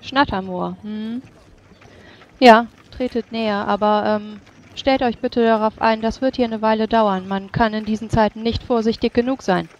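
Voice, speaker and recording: A woman's voice: a little high, steady tone, normal speed, muffled recording, natural voice. A woman playing a formal, guarded city gate guard in a tabletop game. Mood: formal, wary.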